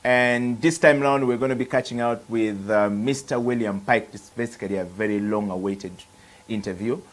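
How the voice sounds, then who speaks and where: Male TV host, medium pitch, formal introductions, uh-filled questions. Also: normal speed. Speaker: TV show host. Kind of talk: hosting a book interview.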